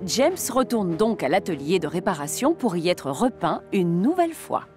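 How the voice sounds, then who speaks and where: A woman narrating a children's cartoon story in an expressive, scripted storytelling style. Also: on the low side, moderate pace. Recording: music under the narration.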